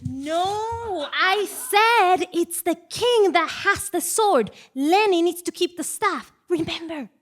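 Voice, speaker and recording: A woman plays a flustered, bossy plotter in a stage comedy. Her voice is extremely high and bright, drawn out slowly with big swoops. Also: animated, exaggerated voice. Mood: exasperated. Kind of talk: comic stage play acting before a live audience.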